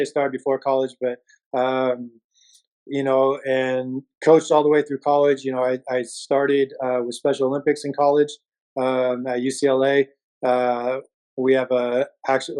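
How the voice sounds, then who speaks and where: A man's voice: mid-range voice, a little mellow, rough recording. A man speaking as an interview guest, a coach telling his story in a casual, laid-back and hesitant way.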